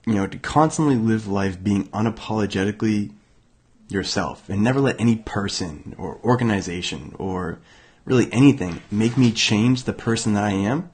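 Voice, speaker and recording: A young man from Massachusetts hosting a motivational self-help show, hoarse and raspy from illness, talking casually with many fillers and restarts. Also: lowish voice, normal speed, a little mellow. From Massachusetts, USA. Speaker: podcast host.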